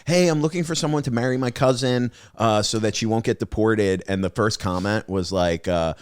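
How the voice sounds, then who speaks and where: A man guesting on a comedy podcast, telling stories in a lively way and acting out the people he quotes. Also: lowish voice, moderate pace, clean tone, dry recording. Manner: animated storytelling, acting out quotes. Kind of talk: casual comedy podcast chat.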